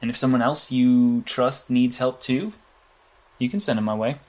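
A man's voice: medium pitch, normal speed, darkish tone, lightly acted. A man playing a blunt, secretive vigilante strategist in an audio drama, clipped and matter-of-fact. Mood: calm, persuasive.

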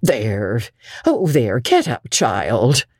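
A woman's voice: a bass voice, huge pitch swings, drawn-out words, a little mellow, slightly rough, no room sound, studio quality, full character voice. A woman voicing a kindly but officious older country woman, folksy, hearty and outspoken, in a storybook reading. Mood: hearty, forgiving. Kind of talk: dramatic audiobook reading.

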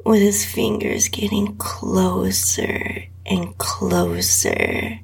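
A woman narrating intimate erotic fiction in a sultry, lingering, breathy voice that often drops to a whisper. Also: medium pitch, sing-song, extremely slow. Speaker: podcast host reading own story. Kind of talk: slow, intimate erotica narration in the first person.

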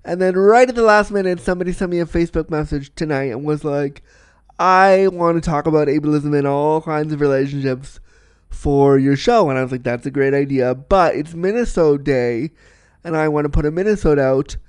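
A man's voice: on the high side, brisk, clear, resonant tone, dry recording, studio quality. A man hosting a podcast, chatting casually and rambling, sniffly with a cold. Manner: chatty, rambling, repeats himself. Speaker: podcast host. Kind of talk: casual solo podcast chat.

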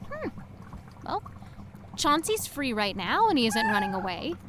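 A woman playing an eager, good-natured young pet store clerk in a comedic audio drama, casual and expressive. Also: sits high, measured pace, noisy background. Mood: eager, reassuring.

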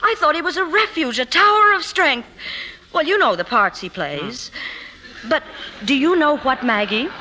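A self-assured woman editor in a vintage radio play. Her voice sits high and rings brightly, with lively rises and falls. She is poised, with a softening edge. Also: moderate pace, rough recording. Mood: relieved, confident. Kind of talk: 1950s radio drama acting. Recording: vintage 1950s radio broadcast.

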